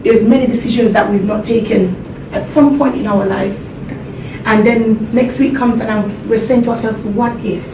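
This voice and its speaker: A woman from Jamaica speaks earnestly to a seminar audience, her fairly high voice set back from the mic. The room is echoey, and a steady rumble runs underneath. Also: moderate pace. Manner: earnest, laughs along with the audience. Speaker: motivational speaker. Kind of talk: motivational seminar talk. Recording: echoey hall, distant mic, background rumble.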